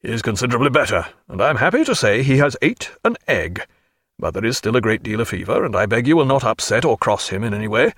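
Brief: man playing brisk, concerned captain, husky, quick. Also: medium pitch, sing-song, a bit bright, no echo, studio quality. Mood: earnest. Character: earnest naval captain, concerned friend.

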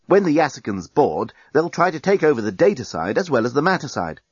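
Male reader as brash alien journalist, pushy, explaining urgently, lively and a bit husky, audiobook. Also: mid-range voice, brisk, no echo, muffled recording. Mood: impatient explaining.

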